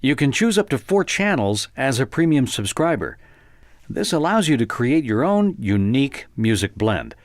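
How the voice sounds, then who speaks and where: An American man narrating a software tutorial conversationally, explaining step by step in an informal, encouraging way. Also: mid-range voice, no room sound, clean studio sound.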